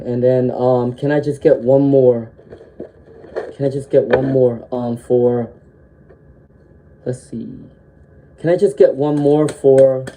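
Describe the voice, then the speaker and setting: A tarot reader doing a live-stream reading while fighting a cold, with frequent "um"s and a habit of tagging "okay?" onto sentences. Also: medium pitch. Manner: ends sentences with a checking "okay?"; frequent "um" fillers, gentle reassuring delivery. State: has a cold, sniffling.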